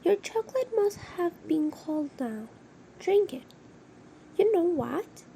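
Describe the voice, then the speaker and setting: A very high-pitched, slow-talking old farm grandmother, acted by a woman in a radio play. She is protective and anxious, fussing over family, on a slightly noisy recording. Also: sing-song, vocal fry, warm tone, heavily acted. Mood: reassuring. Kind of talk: amateur radio drama acting.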